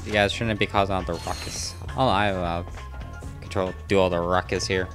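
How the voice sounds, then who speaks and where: Chatty low-voiced man narrating his gameplay. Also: fairly even intonation, a little fast. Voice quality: loud voice held down to a murmur. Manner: chatty, rambling, talks back to enemies. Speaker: gaming channel host. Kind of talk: casual let's-play commentary. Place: home room next to a neighbor's bedroom. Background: faint game music.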